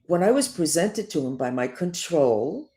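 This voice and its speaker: Older woman lively rambling monologue. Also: deep voice, normal speed. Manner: digressive, emphatic, lively up-and-down delivery. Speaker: spiritual teacher.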